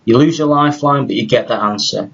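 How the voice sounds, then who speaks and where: Podcast quizmaster: man, mid pitch, dull lo-fi sound, brisk rule explainer. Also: a bit bright, husky. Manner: explains rules briskly, stumbling restarts. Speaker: podcast host running a quiz game. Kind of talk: casual podcast chat.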